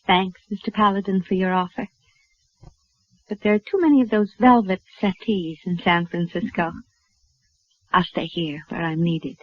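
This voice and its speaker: A woman's voice: lowish voice, normal speed, pauses often, lightly acted. An actress in a 1950s radio western playing a gracious, resolute woman doctor of the frontier. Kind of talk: 1950s radio drama acting. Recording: dull, noisy old radio broadcast.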